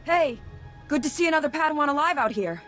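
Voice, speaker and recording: Headstrong young trainee fighter. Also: female voice, high voice, expressive, fast talker, a bit bright, faint music behind. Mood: defiant. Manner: brash, insistent. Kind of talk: video game dialogue.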